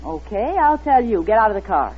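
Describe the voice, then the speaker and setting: A woman's voice: fairly high pitch, very animated, a little fast, slightly rough. A woman acting a tough, wary secretary on the run in an old radio thriller, clipped and determined. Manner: hard-boiled, clipped, determined. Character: tough, wary young secretary turned gun-toting fugitive, narrating. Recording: muffled vintage radio recording with music.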